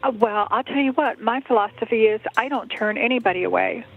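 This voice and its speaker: A woman's voice: on the high side, fairly quick, creaky. A woman speaking over the telephone, hesitant and politely noncommittal, as a church worker fielding a request. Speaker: church staff member. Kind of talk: unscripted phone conversation. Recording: muffled band-limited phone line.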